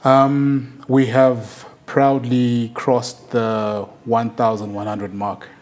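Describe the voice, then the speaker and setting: A man's voice: mid-range voice, flat delivery, extremely slow. A man from Zimbabwe, a company's country manager, answering interview questions in a hesitant way with frequent fillers and restarts.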